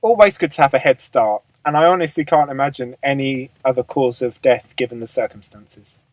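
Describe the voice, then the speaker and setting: Police boss, male actor, measured and authoritative, amateur radio drama, mid-pitched, very dry recording. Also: no room sound, natural voice, rough recording. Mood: thoughtful, measured. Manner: measured, matter-of-fact, authoritative. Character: businesslike male police boss briefing his detectives.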